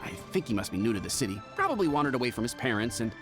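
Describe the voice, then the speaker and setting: A man voicing a brisk, helpful pharmacy assistant in an anime game. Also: mid-range voice, sing-song, music in the background, lightly acted.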